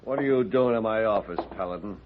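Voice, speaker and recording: An actor playing a blustering, cowardly railroad boss in an old radio western, husky, curt and edgy. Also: male voice, fairly low pitch, fast talker, a little hiss or hum, natural voice. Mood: irritated. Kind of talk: 1950s radio western acting. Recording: dull old radio recording.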